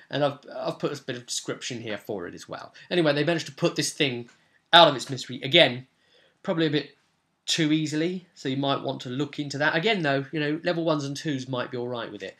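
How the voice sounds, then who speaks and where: A man's voice: mid-range voice, brisk, sharp tone. A man speaking as a game master, casually recounting a tabletop session with conversational, explanatory asides. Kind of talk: casual rambling session recap.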